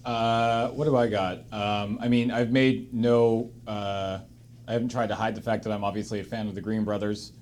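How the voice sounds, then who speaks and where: A man's voice: fairly low pitch, flat delivery, normal speed, a little mellow, a little hiss or hum. A man chatting casually as he moderates a group discussion, hedging and correcting himself as he goes. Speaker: discussion moderator on a charity livestream.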